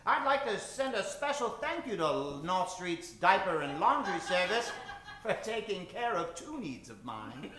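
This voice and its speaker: A man with a high voice plays the old-time radio showman, mock-grand and theatrical. He takes his time over his lines, and the room rings around him with music behind. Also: sing-song, unhurried, very noisy. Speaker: host, announcer and narrator of a live radio show. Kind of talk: old-time radio announcing with comic patter. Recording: roomy live stage sound with music.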